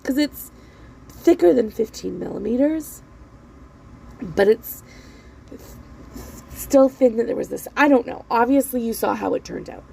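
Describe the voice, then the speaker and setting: A woman in her thirties with a croaky voice, recovering from laryngitis, chatting casually in a rambling, self-deprecating voiceover at home. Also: fairly high pitch, lively intonation, a little slow, pauses often, warm tone, slight background noise, rough recording. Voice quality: croaky, gravelly voice. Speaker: planner vlogger. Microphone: voiceover mic.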